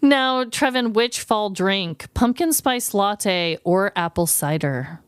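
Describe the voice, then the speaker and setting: An American woman in her thirties from the Midwest, co-hosting a podcast in an expressive, informal, giggly style. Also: mid-range voice, slow pace, smooth, dry recording, clean studio sound. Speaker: podcast co-host. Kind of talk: casual chat.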